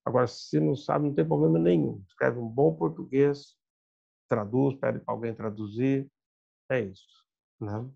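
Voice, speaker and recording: An older man giving a lively, emphatic seminar talk from an office over a video call, gesturing as he speaks. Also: medium pitch, moderate pace, gravelly, husky. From Brazil. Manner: emphatic, conversational, gesturing with hands. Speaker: guest lecturer. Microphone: webcam on video call. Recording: dull lo-fi video call audio.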